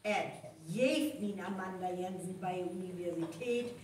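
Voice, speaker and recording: A woman's voice: lowish voice, unhurried, darkish tone, close to an everyday voice. A woman acting in a North Frisian live radio play as a detective methodically explaining a murder case. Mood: explaining, assured. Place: hall with a live audience. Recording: echoey live hall recording, distant, faint noise.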